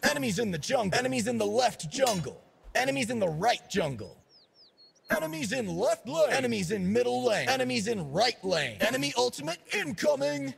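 Cocky gravelly young party DJ. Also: male voice, extremely high pitch, sing-song, fairly quick, full character voice. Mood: energetic, urgent. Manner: swaggering slang-heavy party talk. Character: cocky, laid-back young male dancer and party DJ. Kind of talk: video game character voice lines.

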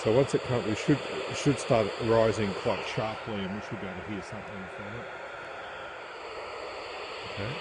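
Australian ham radio man in loud noise. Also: fairly low pitch, normal speed, pauses often. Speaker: amateur radio operator. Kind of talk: ham radio contact and casual chat. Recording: noisy handheld phone video, loud background hiss.

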